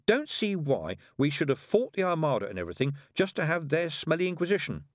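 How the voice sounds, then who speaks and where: A man's voice: on the high side, big pitch swings, fairly quick, dry recording, clean studio sound, voice pushed up. Man playing a sulky, grumbling boy. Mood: indignant. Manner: muttering, complaining. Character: grumbling, sulky young boy who feels hard done by. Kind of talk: audiobook character voice.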